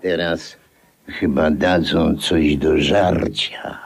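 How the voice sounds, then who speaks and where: An actor playing a crude, hungry, sardonic dinner guest in a 1950s radio comedy, muttering mocking asides. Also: male voice, very deep voice, steady tone, drawn-out words, creaky, husky, exaggerated voice.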